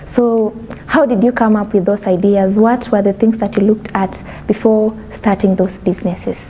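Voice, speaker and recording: A woman hosting a podcast interview, asking questions calmly and giving soft murmurs of agreement. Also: mid-range voice, normal speed, a little hiss or hum, low-quality recording. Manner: calm, many soft backchannel murmurs. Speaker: podcast host.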